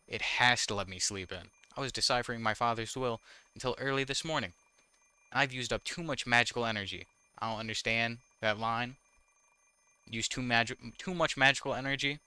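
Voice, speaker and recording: A man's voice: medium pitch, bright, forceful tone, smooth, no echo. A man reading visual novel text aloud with casual, wry commentary, sometimes stumbling over the words. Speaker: gaming YouTuber, host.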